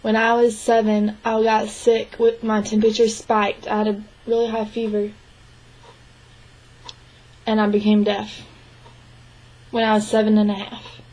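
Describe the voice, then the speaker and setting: A woman voicing the spoken interpretation of a signed interview, speaking in an even, measured way with frequent restarts. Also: medium pitch, poor audio. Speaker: sign language interpreter voicing a deaf man's answers.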